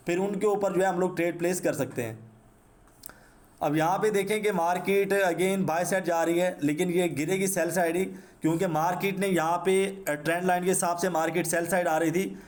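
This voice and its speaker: A high-pitched man with a Pakistani accent talks through an unscripted tutorial. He explains step by step, repeating himself and dropping in English terms, with a slightly sore throat. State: sore throat, clearing it now and then. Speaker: trading instructor. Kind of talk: unscripted screen-share trading tutorial.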